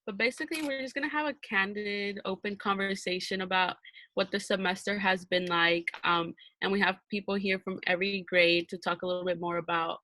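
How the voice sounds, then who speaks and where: A bubbly young woman from Arizona hosts a relaxed student podcast over a video call. Her voice is bright and sits in a dry, close sound. She laughs easily and fills her talk with um and like. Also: medium pitch, a little fast, dry recording, low-quality recording. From Arizona, USA. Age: young adult. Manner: bubbly, laughs often, says um and like. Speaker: podcast host.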